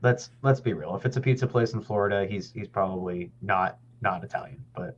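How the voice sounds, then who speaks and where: A man's voice: lowish voice, a little fast. A man co-hosting a podcast in casual chat, joking and swearing. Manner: animated, joking, profane. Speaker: podcast co-host. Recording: dull lo-fi sound.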